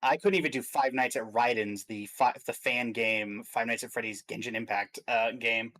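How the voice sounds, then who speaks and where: A man's voice: medium pitch, steady tone, moderate pace, pushed and bright. A man co-hosting a stream, chatting casually about video games and thinking aloud as he goes. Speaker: stream co-host.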